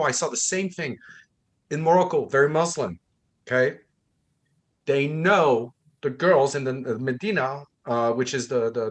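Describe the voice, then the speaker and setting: A man's voice: medium pitch, fairly bright tone. A man who grew up in Thailand, co-hosting a book discussion, talking with conviction and acting out the people he quotes. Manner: impassioned, acts out quoted speakers. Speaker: co-host.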